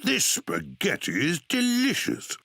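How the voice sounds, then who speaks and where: A man's voice: high-pitched, wildly swooping pitch, slow pace, bright, forceful tone, breathy edge, dry recording, heavily acted. A man voicing a jovial, blunt father pig in a British preschool cartoon, hearty and a bit bumbling. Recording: music behind the voice.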